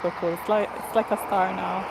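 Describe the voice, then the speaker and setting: A woman's voice: mid-range voice, fairly even intonation, fairly quick, creaky. Chatty woman streaming outdoors. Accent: non-native English, neither Portuguese nor Spanish. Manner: chatty, upbeat, laughs often. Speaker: livestream host. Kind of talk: casual live chat with viewers. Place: outdoors near an airport. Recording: outdoor live stream with background noise. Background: traffic and ambient noise.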